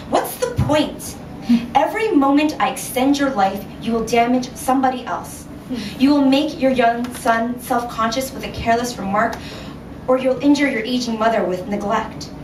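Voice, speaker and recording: Female author at a live literary reading, slightly high voice, measured expressive delivery; echoey, picked up from afar. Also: distant mic. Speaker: author reading own short story. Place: indoor venue at a reading series. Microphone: recorded from a distance in the room. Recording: roomy, noisy live recording from afar.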